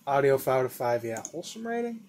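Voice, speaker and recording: A man's voice: mid-range voice, very animated, quick pace, a little mellow. A young man hosting a reaction video from a room at home, chatting casually, rambling and repeating himself. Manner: rambling, repeats "yo" and "dude", trails into mumbles. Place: home room with a flag on the wall.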